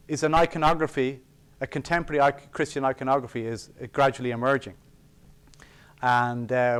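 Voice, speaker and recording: Male sculptor speaking at a lecture, mid-pitched and resonant, reflective and sincere, dry humor about himself. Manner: earnest and reflective, with self-deprecating asides. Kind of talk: public lecture on sacred art. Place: college auditorium.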